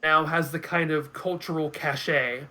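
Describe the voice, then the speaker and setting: A man giving casual commentary as a guest, halting and often restarting his phrases. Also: fairly high pitch, fairly even intonation, sharp tone. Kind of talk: casual chat.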